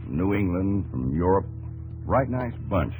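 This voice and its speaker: Deep-voiced, folksy American pioneer farmer. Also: male voice, big pitch swings, measured pace, mellow, slight background noise, low-quality recording. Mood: reminiscing. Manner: folksy, drawling. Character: plainspoken, stubborn pioneer farmer recalling his westward journey. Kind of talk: 1950s radio drama acting, narrating in character. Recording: old 1950s radio broadcast recording.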